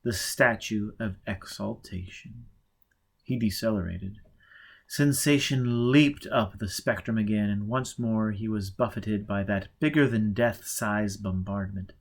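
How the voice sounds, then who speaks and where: A man reading a novel aloud as audiobook narration, with measured, dramatic pacing and rhythmic pauses. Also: fairly low pitch, vocal fry, slightly rough. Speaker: audiobook reader.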